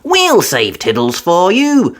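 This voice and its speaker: Storybook piglet girl, played by a woman: very high, swooping, eager and exclaiming. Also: wildly swooping pitch, takes it slowly, heavily acted. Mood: helpful. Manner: bright-eyed eagerness, exclaiming. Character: excitable, eager little girl pig.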